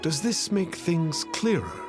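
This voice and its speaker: Self-styled immortal master: pompous, archaic diction, measured pace, swooping theatrical intonation, music underneath. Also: male voice, fairly high pitch, sing-song. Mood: lofty, persuasive. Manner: pompous, cryptic, archaic formal phrasing. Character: smug charlatan posing as a lofty immortal master. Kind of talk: English video game voice acting.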